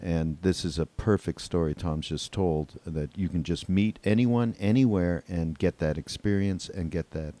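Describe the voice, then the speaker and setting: An older man with a very deep voice hosts a casual podcast. He talks reflectively and haltingly, wandering through his thoughts. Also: mellow. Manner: halting, meandering. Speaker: podcast host.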